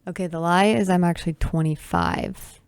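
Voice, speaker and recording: A woman's voice: fairly low pitch, moderate pace, clean tone, no echo. A woman in her twenties hosting a solo podcast, chatting casually and teasing herself, with sighs and asides. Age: 20s. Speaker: podcast host. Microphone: camera with close mic.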